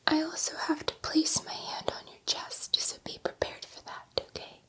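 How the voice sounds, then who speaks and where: A woman voicing a gentle, excitable female alien scientist in an ASMR roleplay, soothing a human subject in a soft, breathy whisper and repeating words reassuringly. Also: moderate pace, natural voice. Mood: gentle, careful.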